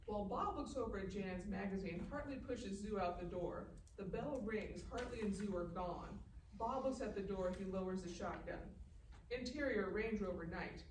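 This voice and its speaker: A woman's voice: medium pitch, normal speed. A woman reading stage directions as scripted narration at a live table read. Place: live festival event room. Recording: echoey, distant, noisy live room.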